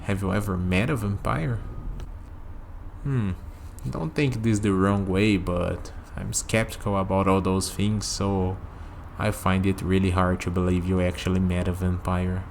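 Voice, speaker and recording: A man playing a curious, skeptical young human in an ASMR vampire roleplay, talking hesitantly to the listener and pausing for replies, with steady noise behind him. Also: sits low, gravelly. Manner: hesitant, conversational, leaves pauses for unheard replies.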